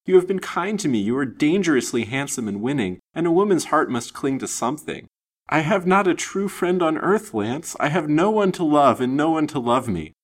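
A man's voice: fairly low pitch, lively intonation, normal speed, fairly bright tone. A man voicing a passionate, lovestruck young woman in a melodramatic, imploring manner for an audiobook story. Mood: wild pleading. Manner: imploring, overwrought, theatrical. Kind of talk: dramatised audiobook reading.

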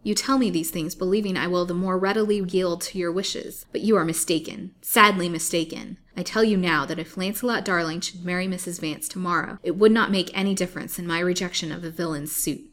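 A woman's voice: on the low side, fairly even intonation, brisk, few pauses, bright, forceful tone. A woman reading the lines of a proud, defiant young woman held captive, speaking firmly and indignantly. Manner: firm, indignant.

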